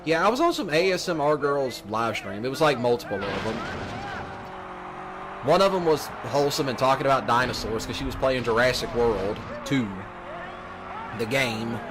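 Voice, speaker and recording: A man's voice: mid-range voice, animated, normal speed. A man in a casual, rambling livestream rant, repeating himself and addressing his audience as y'all and lads. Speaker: livestreamer doing a let's play. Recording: noisy with car engine sounds, uneven volume. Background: car and vehicle sounds from racing game.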